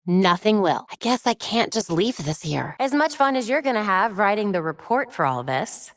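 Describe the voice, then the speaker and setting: A young American vigilante detective in a video game, determined and caring. Her voice sits at a medium pitch with a focused, wry, conversational delivery. The sound is dry and close. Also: very animated, moderate pace, no echo. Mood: focused, businesslike.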